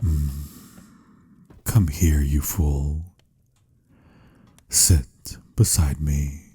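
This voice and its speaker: A man playing a tender, teasing, lovelorn vampire in a romantic ASMR roleplay, speaking intimately and soothingly in a husky, breathy voice that slips into whispers. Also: extremely low pitch, warm tone, heavily acted. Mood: amused, reassuring. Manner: intimate, soothing, slightly formal endearments.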